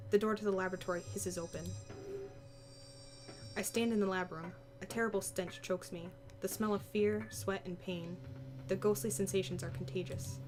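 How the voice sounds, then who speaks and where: A woman's voice: medium pitch, a little mellow. A woman reading visual novel text aloud as dramatic first-person narration.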